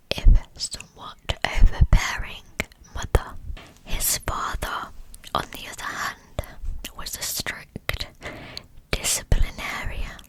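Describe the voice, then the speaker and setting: A woman whispering in a hushed, soothing way as the host of an ASMR true crime channel. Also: rough recording. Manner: hushed, soothing delivery meant for sleep.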